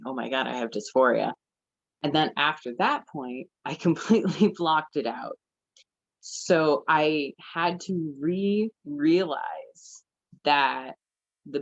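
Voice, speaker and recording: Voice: mid-range voice, dry recording, lo-fi sound. A nineteen-year-old panelist telling their own story in an earnest, chatty way, full of fillers. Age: teens. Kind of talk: casual personal storytelling. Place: library event room.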